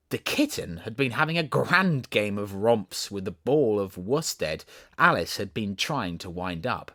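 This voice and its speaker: A man with a Southern accent reading a storybook as an audiobook narrator, in an expressive, theatrical way. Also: mid-range voice, no room sound, studio quality.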